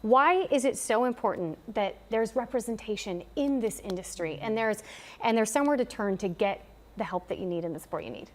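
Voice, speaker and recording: A woman co-hosting a live morning TV show, lively and quick to react. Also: a little high. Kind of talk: live TV interview.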